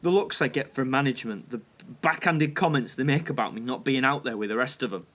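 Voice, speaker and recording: A man's voice: mid-range voice, brisk, fairly bright tone, lightly acted. A man with a Northern English accent playing a troubled, tender 1940s husband in an audio drama, earnest and emotional. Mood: troubled, insecure. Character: troubled, tender wartime husband in 1940, self-doubting factory worker.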